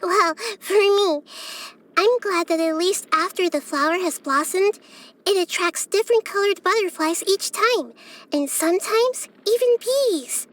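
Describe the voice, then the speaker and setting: A woman voicing a childlike girl god of wisdom for a video game, gentle, curious and thoughtful, speaking softly and carefully. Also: very high voice, measured pace. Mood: gentle, hopeful. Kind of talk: scripted video game character voice lines.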